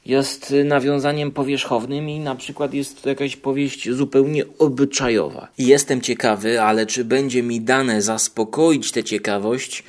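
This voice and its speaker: A man's voice: mid-range voice, steady tone, normal speed, hardly any pauses. A man giving opinion commentary as a guest on a podcast.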